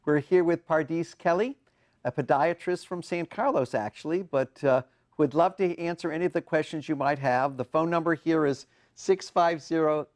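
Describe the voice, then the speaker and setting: An older man hosts a television studio talk show in a chatty way. His voice sits somewhat high for a man. He strings questions together one after another. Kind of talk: TV studio interview. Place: TV studio set.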